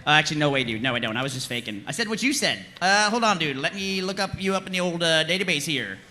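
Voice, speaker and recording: A man's voice: a little high, expressive, quick pace, pushed and bright. A man playing a laid-back, scatterbrained dude working as a military radio operator in a comedic table read, talking in slang. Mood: breezy.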